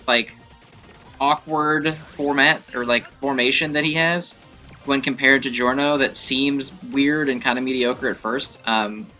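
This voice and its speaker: Bright-voiced man rambling over game music. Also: mid-range voice. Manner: halting, self-correcting, thinking aloud. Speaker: gaming video host. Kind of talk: casual rambling game commentary.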